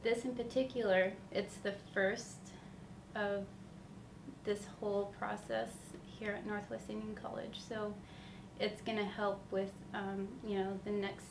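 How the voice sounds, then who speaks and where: A woman from Washington State describes her lab work casually and a little haltingly. Her pitch barely moves. The room echoes and clear noise runs behind her. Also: little pitch movement. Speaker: student researcher. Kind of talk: casual interview.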